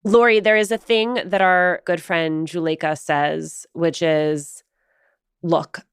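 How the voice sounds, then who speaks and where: A woman hosting a podcast interview, asking measured, conversational questions. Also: fairly low pitch, fairly even intonation, clear, resonant tone, no echo, studio quality. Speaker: podcast host.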